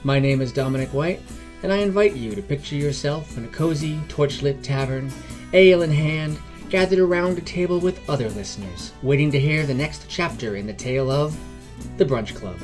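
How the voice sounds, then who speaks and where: Male fantasy storyteller over soft music. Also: fairly high pitch, moderate pace. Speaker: podcast host and narrator. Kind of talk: scripted fantasy story narration. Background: background music.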